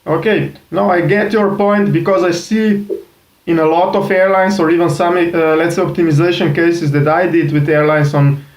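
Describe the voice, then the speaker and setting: Higher-voiced male host, echoey room. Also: fairly even intonation, normal speed. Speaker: interview host. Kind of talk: conversational interview questions.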